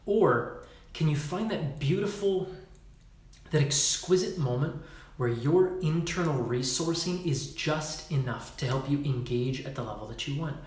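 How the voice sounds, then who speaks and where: A man's voice: on the high side, lively intonation, unhurried, roomy sound. A man leading a Facebook Live talk as a workshop leader, emphatic and casual, swearing now and then and acting out postures as he explains.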